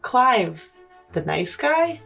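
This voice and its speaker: A woman playing in a tabletop game show, speaking casually in a measured, deliberate way. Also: on the high side, very animated, gravelly, a bit bright. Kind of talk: reciting a homemade rhyme, casual chat. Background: music.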